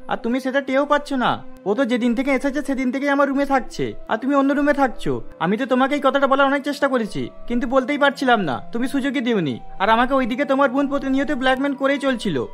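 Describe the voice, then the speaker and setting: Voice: high voice, lively intonation, fast talker, soft background music. A performer playing a flustered, protesting young husband in a cartoon melodrama. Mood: anxious, protesting.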